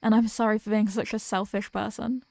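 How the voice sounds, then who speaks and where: A woman playing a remorseful villain and former hero, confessing to a lost love in a halting, earnest dramatic monologue. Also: medium pitch, flat delivery, gravelly, darkish tone, no echo, lightly acted. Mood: tender, apologetic. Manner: halting, earnest, pausing between phrases. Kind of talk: dramatic audio roleplay monologue, voicemail confession.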